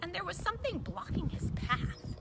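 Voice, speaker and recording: A woman narrating a children's puppet story as puppeteer and storyteller, in an animated style. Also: extremely high pitch, moderate pace, gravelly. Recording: noisy live recording.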